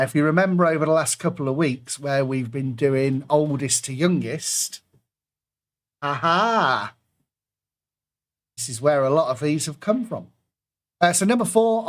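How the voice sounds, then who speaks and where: A man hosting an online pub quiz, reading out clues and adding jokey asides between them. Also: a little high.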